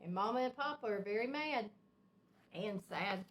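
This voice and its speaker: A woman reading a children's storybook aloud like a teacher, expressive and emphatic, with simple explanatory asides. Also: mid-range voice, normal speed.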